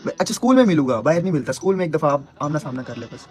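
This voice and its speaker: A man's voice: fairly high pitch, very animated, racing, darkish tone, faint music behind. A young man doing prank call banter in a pleading, insistent, repetitive manner. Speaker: prank caller and show host.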